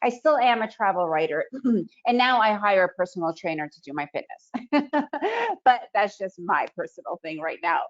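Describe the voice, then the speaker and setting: A middle-aged woman whose first language is Russian, giving an energetic, motivational online trading presentation and often asking "right?". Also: mid-range voice, normal speed. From Soviet Union. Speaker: trading educator presenting a webinar. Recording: slightly dull lo-fi webinar audio.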